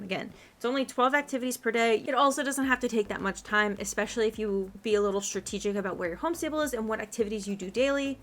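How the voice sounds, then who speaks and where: A woman's voice: fairly high pitch, fairly bright tone. A woman giving a casual gaming guide commentary as a video host, chatty, informal and encouraging. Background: background music.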